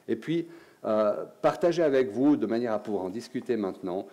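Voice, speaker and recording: A man's voice: lowish voice, lively intonation, a little fast, mellow. A man with a Swiss French accent giving a conference lecture on research, explaining step by step with restarts. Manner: explanatory, with restarts and filler words. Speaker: medical researcher giving a talk. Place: conference hall.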